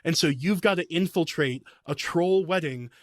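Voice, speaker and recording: Guy w a highish voice geeking out about fantasy lore, rambly storyteller, very dry sound. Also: moderate pace, no room sound. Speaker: podcast guest, game master. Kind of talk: animated casual explanation of fantasy lore.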